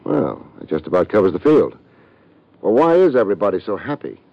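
A hard-boiled private eye who narrates with dry wisecracks, his low voice rising and falling in lively swings. This is a muffled vintage radio drama recording. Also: a little fast, gravelly, no room sound. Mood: wry. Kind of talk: 1940s radio drama acting.

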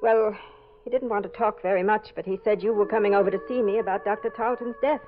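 A woman's voice: mid-range voice, steady tone, fast, phone-quality sound, faint music behind, lightly acted. Woman acting a furtive nurse on thin old radio. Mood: probing, suspicious. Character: furtive, suspicious woman nurse, secretive and probing. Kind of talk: 1940s radio mystery acting.

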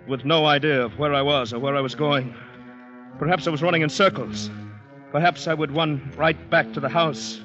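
A man's voice: medium pitch, fairly bright tone, lightly acted. An actor playing a terrified, shell-shocked man on the run, narrating urgently in a vintage radio drama style. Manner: dramatic, haunted, urgent delivery. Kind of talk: 1940s-50s radio drama acting, first-person narration. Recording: dull vintage radio recording, music behind.

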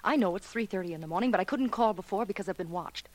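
A woman's voice: medium pitch, quick pace, lightly acted. An actress playing a tough, sardonic young woman in a 1940s radio crime drama, with a hard-boiled, clipped delivery. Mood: urgent, hard.

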